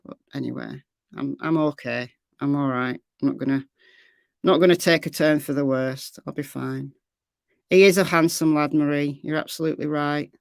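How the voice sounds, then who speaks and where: Hoarse, rambling woman streamer. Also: sits low, normal speed, dry recording, clean studio sound. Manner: rambling, chatty, trails off into fillers. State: slightly hoarse, losing her voice. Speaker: true crime livestream host. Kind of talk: casual livestream sign-off chat with viewers.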